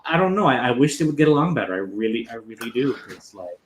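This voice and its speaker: A man's voice: mid-range voice, very animated, fast. A man hosting a casual livestream chat, talking informally with lots of restarts and fillers. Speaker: host. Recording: roomy echo, faint noise.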